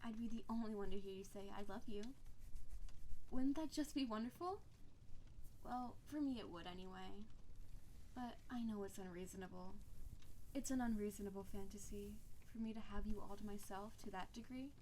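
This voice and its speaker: A woman's voice: mid-range voice, normal speed, warm tone, a little hiss or hum, lightly acted, low-quality recording. A woman playing a doting, needy, possessive snow-woman spirit wife in an intimate audio roleplay, speaking tenderly and intensely. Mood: jealous, yearning.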